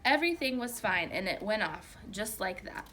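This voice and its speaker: A woman reading a children's story aloud like a teacher, with expressive, emphatic narration. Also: medium pitch, moderate pace.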